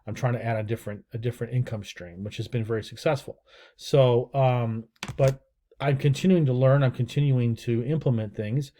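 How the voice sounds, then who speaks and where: A man's voice: medium pitch, steady tone, a little fast, warm tone, a little hiss or hum. A middle-aged American man talking casually to camera about his daily routine, rambling with many fillers and restarts. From United States. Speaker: real estate entrepreneur and channel host. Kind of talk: casual unscripted talking-head video.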